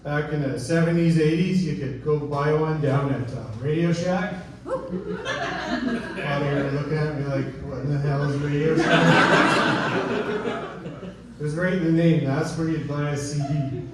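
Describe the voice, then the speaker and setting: A middle-aged Canadian man performing self-deprecating stand-up to a live audience in a halting, informal way. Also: fairly high pitch, animated. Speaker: stand-up comedian. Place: live comedy venue with audience. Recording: echoey live room, distant mic, audience noise.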